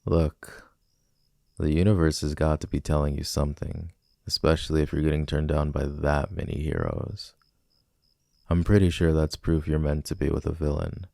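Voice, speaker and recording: A man acts out a smug villain wooing a civilian in a romantic ASMR roleplay. His voice is extremely deep and mellow, with a gravelly fry. He teases and persuades in a casual, cocky way, close to the mic. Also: normal speed, dry recording. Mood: smug teasing. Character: flirty, cocky male villain smitten with a civilian.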